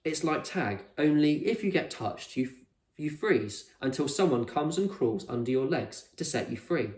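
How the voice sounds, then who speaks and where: Adventurous storybook boy, played by a man: eager, straightforward, raised pitch, echoey room. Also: lively intonation, moderate pace, a little mellow, far from the mic, natural voice.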